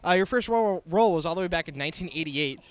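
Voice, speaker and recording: A man's voice: high voice, expressive, very fast. A man interviewing a guest, asking prepared questions politely and starting them with hesitant fillers.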